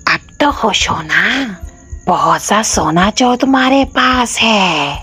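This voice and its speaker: A woman voicing a mysterious male stranger in a cartoon tale, taunting and deliberate, with a husky breathy voice. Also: medium pitch, lively intonation, bright, forceful tone, faint music behind, exaggerated voice. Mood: mocking. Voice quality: husky, breathy, sometimes whispery. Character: mysterious male stranger, mocking, testing a greedy king. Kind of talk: Urdu cartoon storybook dialogue.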